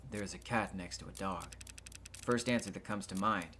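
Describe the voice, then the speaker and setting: Man voicing a low-voiced, evasive game tech worker. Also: normal speed, bright, forceful tone, a little hiss or hum. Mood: explanatory. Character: nervous, evasive male tech worker and suspected spy. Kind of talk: video game dialogue read aloud.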